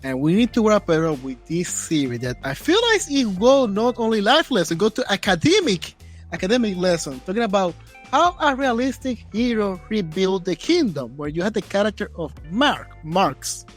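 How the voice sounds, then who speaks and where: Higher-pitched man hosting a podcast. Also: big pitch swings, normal speed, faint music behind. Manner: non-native phrasing. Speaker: podcast host. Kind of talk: casual podcast talk.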